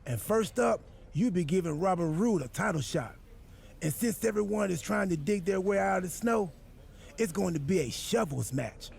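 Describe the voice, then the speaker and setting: An actor playing a goofy, self-important wrestler turned boss in a video game cutscene, with a gravelly, husky voice and an earnest, absurdly literal, rambling manner. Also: male voice, high voice, expressive, normal speed, darkish tone, heavily acted. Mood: smug, cheerful. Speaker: wrestler acting as general manager.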